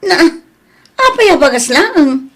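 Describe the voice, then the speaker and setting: A woman playing an indignant, suspicious grown daughter in an audio drama, firing off sharp questions. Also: very high-pitched, big pitch swings, slow pace, full character voice. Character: indignant, suspicious daughter challenging her father.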